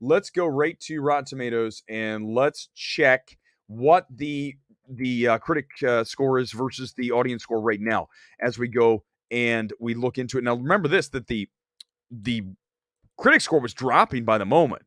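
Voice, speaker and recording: A man's voice: mid-range voice, normal speed, a bit bright, no room sound. A man with a slight cold hosting a movie talk show, giving emphatic, conversational opinions and reading out viewer comments.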